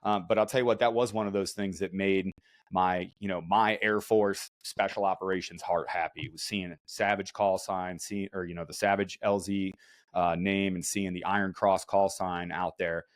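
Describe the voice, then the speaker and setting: American podcast guy, real low voice, close dry sound, blunt and sweary, telling stories. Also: steady tone, normal speed, no echo. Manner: blunt, emphatic, profane. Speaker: podcast co-host, military special operations veteran. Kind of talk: casual podcast chat, storytelling.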